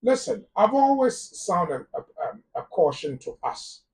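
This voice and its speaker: A man's voice: high-pitched, very animated, unhurried, recorded from a distance. A man with a Ghanaian English accent giving immigration advice on a live phone-in talk show, emphatic and repeating words for stress. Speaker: immigration lawyer guest.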